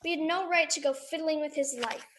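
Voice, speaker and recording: A woman's voice: sits high, steady tone, fairly quick, a bit bright, slight background noise. A woman playing an earnest, bossy little girl in a live radio drama, speaking emphatically. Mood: stern.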